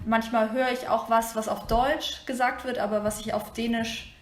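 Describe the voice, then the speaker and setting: A woman's voice: a little high, level tone, moderate pace, reverberant, a little hiss or hum. A woman whose first language is Turkish, speaking thoughtfully in an interview and sometimes searching for words. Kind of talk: reflective personal interview.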